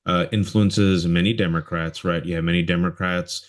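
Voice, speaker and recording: A man's voice: deep voice, fairly even intonation, moderate pace. A man hosting a political interview in an informal, conversational way, often checking for agreement with short tag questions. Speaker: interview host.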